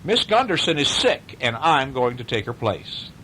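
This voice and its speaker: A man voicing a small, confident mouse gentleman in a storybook, speaking in an agreeable, formal manner. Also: medium pitch, big pitch swings, moderate pace, a little hiss or hum, low-quality recording. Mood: commanding.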